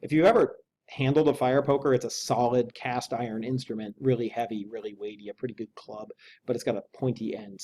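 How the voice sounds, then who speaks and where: A man's voice: mid-range voice, darkish tone, a little hiss or hum. A man reading a novel aloud as an English teacher and explaining it in a casual, conversational way.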